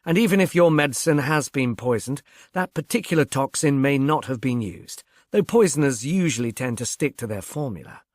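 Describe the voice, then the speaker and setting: Urbane male inspector for an audiobook, acted by a narrator, polite, measured, mid-pitched. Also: expressive, dry recording, clean studio sound, close to an everyday voice. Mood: polite, businesslike.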